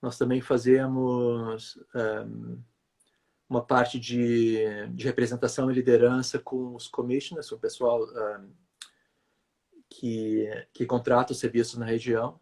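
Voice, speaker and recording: A man from Brazil talks through his work as a physiotherapist in a relaxed interview. His voice is husky, and he hesitates often, repeating words as he explains at length. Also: medium pitch, unhurried. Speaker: physiotherapist guest. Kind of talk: conversational interview answer.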